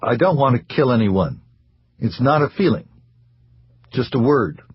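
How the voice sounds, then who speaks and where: A man's voice: mid-range voice, big pitch swings, unhurried, husky, heavily acted. A man doing a dull, mumbling depressed husband. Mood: dull, plaintive. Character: depressed, timid, passive middle-aged husband.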